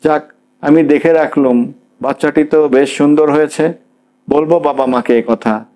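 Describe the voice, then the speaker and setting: Brief: curt young sister-in-law in an audiobook, voiced by a male narrator, higher voice. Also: steady tone, normal speed, close to an everyday voice. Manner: sharp, dismissive.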